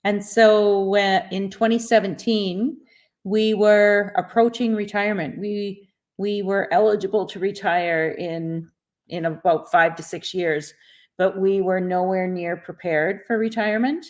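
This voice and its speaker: A middle-aged woman, a guest on a live video call, telling her story at a steady pace. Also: medium pitch, a little slow. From Canada. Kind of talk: interview story.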